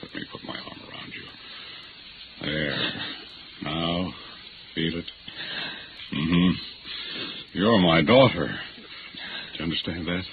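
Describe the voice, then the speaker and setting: An actor playing a gruff but tender old man in a 1950s radio drama, emphatic and often repeating his words. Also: sits low, slow pace, long pauses. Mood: tender, firm. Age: older. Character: gruff, tender-hearted dying old man, protective father-in-law. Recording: tinny, noisy old radio recording.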